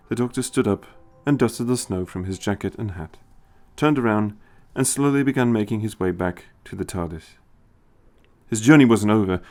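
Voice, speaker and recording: A man narrating a scripted story in an expressive storytelling style. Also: fairly low pitch. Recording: music behind the voice. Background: music.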